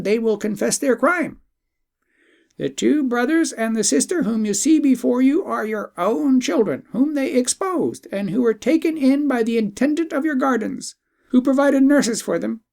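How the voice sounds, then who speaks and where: An eloquent magical bird, voiced by a storybook narrator speaks out boldly. The voice sits high and swoops with emphasis, formal and declamatory as it proclaims the truth. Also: expressive, normal speed, creaky, darkish tone. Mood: reproachful, revealing. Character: enchanted talking bird, eloquent, bold truth-teller.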